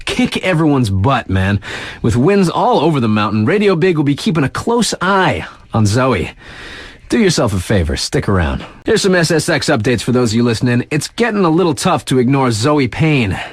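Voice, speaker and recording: An actor playing a cool, hyped-up male snowboard radio DJ in a video game, talking in laid-back, hip slang with drawn-out emphasis. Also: medium pitch, big pitch swings, slightly rough, no echo. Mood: upbeat, confident.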